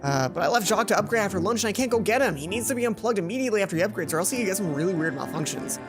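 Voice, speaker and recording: A woman's voice: medium pitch, lively intonation, very fast, natural voice. A woman voicing a flustered schoolboy in a game, urgent, rambling and pleading, with music behind. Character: flustered, pleading schoolboy robot-builder with a theater emergency.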